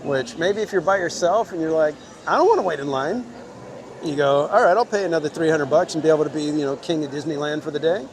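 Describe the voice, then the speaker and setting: A man's voice: fairly high pitch, fast talker. Man, live stream host, casual joking chat, outdoors, crowd noise behind. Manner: chatty, joking, reads chat names aloud. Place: outdoors in a crowded theme park.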